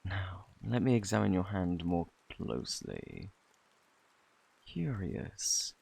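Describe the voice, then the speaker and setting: A man with a British accent playing a flirty, eccentric fortune teller in a fantasy ASMR roleplay, with a theatrical, boastful, easily flustered manner and a husky, gravelly voice. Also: low-pitched, sing-song, slow pace, darkish tone, exaggerated voice. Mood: mystical, assured. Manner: theatrical, flustered, coaxing, old-fashioned phrasing. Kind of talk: ASMR roleplay, intimate scripted acting.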